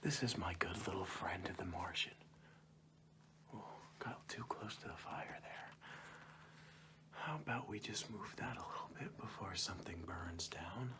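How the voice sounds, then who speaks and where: Casting: a man to play a male ASMR kidnapper, deep and unhurried, breathily hushed, coaxing a captive to sleep with playful menace. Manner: coaxing, mock-reassuring, slow and deliberate. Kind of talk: whispered ASMR roleplay.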